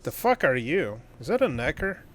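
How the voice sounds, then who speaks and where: A man's voice: fairly high pitch, very animated, moderate pace. A man livestreaming a game and chatting casually, in a blunt, joking and profane way. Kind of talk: casual livestream chat while gaming.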